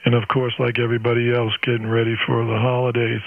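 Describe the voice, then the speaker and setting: A man's voice: medium pitch, completely flat delivery, quick pace, vocal fry, phone-quality sound. A man in his sixties chatting on amateur radio in a friendly, folksy way, full of exclamations, over band-limited radio audio. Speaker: amateur radio operator and channel host. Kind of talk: friendly on-air radio contact chat.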